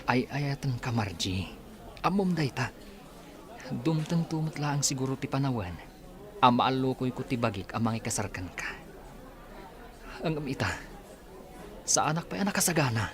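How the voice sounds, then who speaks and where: A man's voice: mid-range voice, animated, normal speed, long pauses, close to an everyday voice. A Filipino man acting an earnest, affectionate character in a radio drama. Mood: earnest, pleading.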